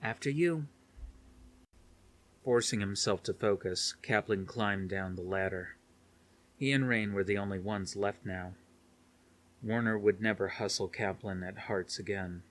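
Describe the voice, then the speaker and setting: A man narrates a thriller novel as an audiobook reader. His voice sits on the low side, and the delivery is scripted storytelling. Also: fairly even intonation, unhurried, fairly bright tone.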